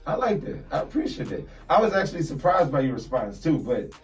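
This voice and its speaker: A man's voice: a little high, big pitch swings, a little fast, a little mellow, far from the mic. A man hosting a podcast panel who keeps chiming in to affirm and encourage the other speakers. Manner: affirming, encouraging, chimes in often. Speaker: podcast host. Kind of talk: casual panel discussion.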